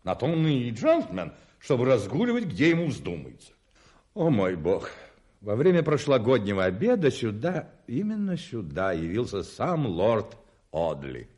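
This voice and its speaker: An actor in a radio play, playing a fussy, anxious hotel manager who is obsequious about gentlemen and talks in a flustered, hesitant, repetitive way. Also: male voice, mid-range voice, wildly swooping pitch, a little slow, husky, full character voice. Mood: anxious, reverent. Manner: flustered, hesitant, repeating himself. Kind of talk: radio play acting in Russian.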